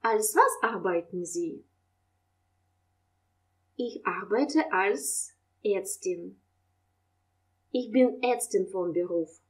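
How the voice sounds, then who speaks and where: Slow, clear woman teaching German. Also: medium pitch, animated. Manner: reads German questions and answers slowly and clearly, explains in Russian. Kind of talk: language lesson, slow model dialogue.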